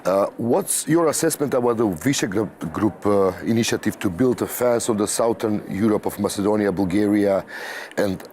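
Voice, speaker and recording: A man's voice: fairly low pitch, normal speed, darkish tone, slight background noise. A man moderating a TV debate, posing questions with hesitant phrasing. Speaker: debate host. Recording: faint background ambience.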